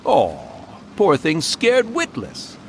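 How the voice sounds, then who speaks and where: A mischievous male fox spirit talks in a fairly high voice with lively, swooping intonation. He is theatrical, teasing and proud of himself, a video game trickster with faint noise behind him. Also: takes it slowly, heavily acted. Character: playful, boastful old fox spirit, a mischievous male yokai.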